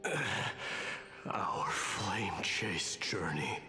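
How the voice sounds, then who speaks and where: A man playing a solemn, stoic warrior in an anime-style video game, with halting, weighty phrasing. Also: deep voice, sharp tone, exaggerated voice. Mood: solemn, reflective. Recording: game music behind the voice.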